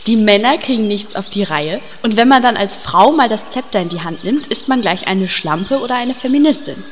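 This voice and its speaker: A woman's voice: mid-range voice, animated, moderate pace, big-room sound, a little hiss or hum, natural voice. A woman playing a vain, brazen, assertive adventurer with a haughty, sassy manner in a comic audio drama. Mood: indignant. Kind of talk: comic radio play parody acting.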